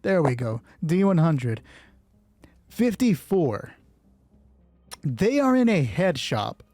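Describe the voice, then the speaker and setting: A man narrating a solo tabletop roleplay as host, moving between measured storytelling and casual asides. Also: fairly high pitch, big pitch swings, warm tone, no room sound.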